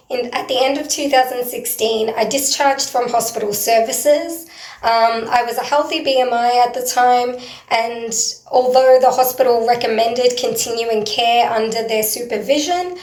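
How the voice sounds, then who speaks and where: A woman with a hoarse, croaky voice, talking earnestly and hesitantly in a personal video diary, with frequent pauses. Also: on the high side, a little mellow. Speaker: vlogger. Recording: roomy echo.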